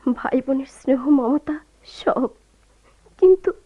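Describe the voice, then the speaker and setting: A woman's voice: high voice, a little slow. A woman playing a proud, grieving young wife and office typist in a radio drama, speaking earnestly and deferentially, with open emotion. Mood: anguished. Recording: dull lo-fi radio drama recording.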